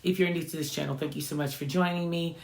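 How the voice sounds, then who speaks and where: A woman of about fifty with a low voice she calls not feminine, hosting a reflective vlog and talking conversationally, often tagging lines with "right?". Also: steady tone, fast talker, vocal fry. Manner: conversational, often adding "right?" tag questions. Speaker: channel host. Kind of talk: reflective motivational vlog talk.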